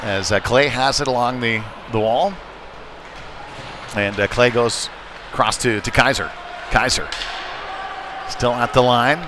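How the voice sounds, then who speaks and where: Male hockey play-by-play commentator, mid-pitched, conversational and halting, recorded live with noticeable arena noise. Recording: noisy live arena sound. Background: rink noise and ambience.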